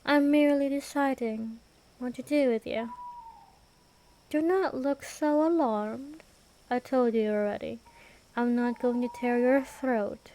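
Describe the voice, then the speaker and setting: A woman playing an ancient vampire queen in an ASMR roleplay, aloof and menacing yet protective, with a measured, formal, imperious delivery. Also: high-pitched, mellow, low-quality recording. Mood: cool, menacing. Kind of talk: ASMR roleplay, scripted fantasy monologue.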